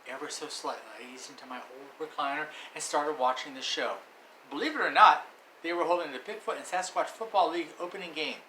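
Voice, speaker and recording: A folksy storybook uncle spinning a campfire yarn, as a man puts him on, bright and forward in tone. He tells it like a sportscaster. The room around him rings with echo and a faint hiss. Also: fairly high pitch, brisk. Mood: storytelling. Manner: tall-tale storyteller, swelling into excited play-by-play. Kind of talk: storybook reading. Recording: echoey room with faint noise.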